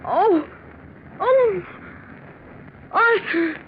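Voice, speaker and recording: An actress playing an insistent, distraught unfaithful wife, pleading and coaxing in 1940s radio mystery style. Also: female voice, extremely high pitch, expressive, extremely slow, dull audio, exaggerated voice. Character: Sandra, insistent, distraught wife having an affair. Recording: scratchy band-limited 1940s radio recording.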